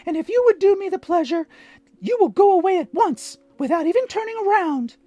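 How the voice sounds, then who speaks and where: A man voicing a cheeky, defiant wooden puppet boy in a high, strained voice. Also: expressive, a little fast, dark tone, no room sound.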